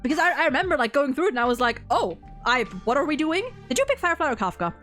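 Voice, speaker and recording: A woman's voice: sits high, sing-song, racing, a bit bright, soft background music. A woman in casual gaming fan chat, co-hosting with effusive delivery and frequent swearing. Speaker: co-host.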